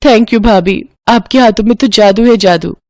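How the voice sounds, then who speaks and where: Woman as a naive, excitable young sister-in-law. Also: mid-range voice, unhurried, no echo, clean studio sound, natural voice. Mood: grateful.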